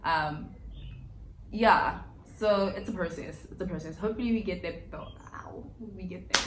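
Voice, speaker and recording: A woman's voice: on the low side, moderate pace. A woman, chatting candidly and reflectively through a casual life update vlog, with slangy asides. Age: 20s. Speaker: vlogger. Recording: echoey room with faint background hiss.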